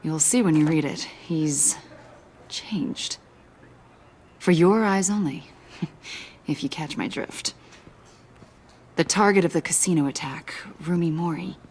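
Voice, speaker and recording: A woman playing a keen, friendly intelligence agent in a video game, husky and breathy, with an inquisitive conversational manner. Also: deep voice, slow pace, pauses often, a little mellow, slight background noise. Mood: businesslike. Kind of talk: video game dramatic acting.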